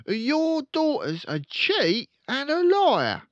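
A man's voice: very high voice, huge pitch swings, slow, dry recording, dull audio, voice pushed up, exaggerated voice. A man voicing a boastful, bullying, hot-tempered father in a storybook, blustering and pompous. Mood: angry, shouting. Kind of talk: storybook read-aloud character voice.

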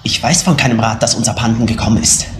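Actor as defensive young son, alarmed. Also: male voice, lowish voice, fairly even intonation, fast, noisy background, lightly acted. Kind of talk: German crime drama acting.

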